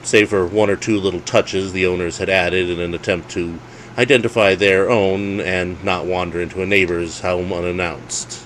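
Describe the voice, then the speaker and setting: Deep-voiced man narrating a wry ghost story. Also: fairly even intonation, normal speed, a bit bright, slight background noise, poor audio. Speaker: author reading own story.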